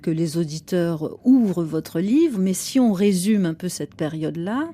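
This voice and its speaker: A woman hosting a radio interview, calmly summarizing her guest's story and asking questions. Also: fairly low pitch, measured pace. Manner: composed, summarizing and prompting the guest. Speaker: radio host.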